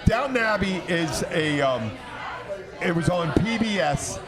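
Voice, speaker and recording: Male host, high-pitched, loose rambling banter, heavy crowd chatter around him. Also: lively intonation, a little slow. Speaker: podcast host. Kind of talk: casual bantering chat. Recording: loud crowd chatter, outdoor urban spot.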